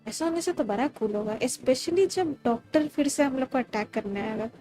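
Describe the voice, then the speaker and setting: A woman's voice: high voice, expressive, racing, dark tone, faint music behind. A woman with an Indian accent giving casual live gaming commentary as a streamer, switching between Hindi and English. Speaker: game streamer.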